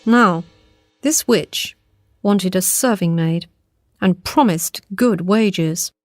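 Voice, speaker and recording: A woman's voice: on the low side, slow, a little mellow, dry recording, clean studio sound, soft background music. A woman narrating a scripted fairy tale as a storyteller.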